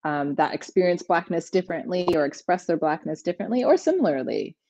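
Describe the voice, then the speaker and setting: Low-voiced woman moderator. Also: animated, a little fast, darkish tone, no echo. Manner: long rambling questions with light laughs. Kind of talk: asking interview questions.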